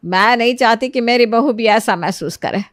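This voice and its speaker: A woman voicing a kindly, motherly middle-aged lady who reasons gently in a moral storybook tale. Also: a little high, normal speed, dry recording, clean studio sound, lightly acted. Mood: earnest, caring. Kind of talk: animated moral storybook dialogue.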